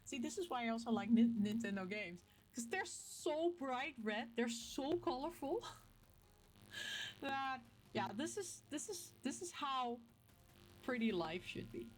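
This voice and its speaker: A woman from the Netherlands with a Dutch accent chats casually to her viewers as a gaming vlogger, telling things in an animated, playfully emphatic way with little acted-out quotes. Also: high-pitched, unhurried, rough recording. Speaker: video game vlogger and host. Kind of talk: casual, chatty talk to camera.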